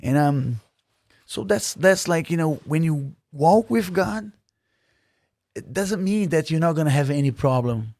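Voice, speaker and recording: A man's voice: fairly high pitch, lively intonation, a little mellow, no echo, clean studio sound. Earnest man with a Brazilian Portuguese accent. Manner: earnest, halting, many fillers and restarts. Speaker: podcast guest. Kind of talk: casual interview.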